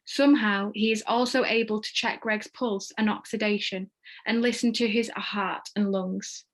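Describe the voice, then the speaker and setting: A woman's voice: medium pitch, steady tone, moderate pace. A woman reading stage directions as scripted narration for a table read.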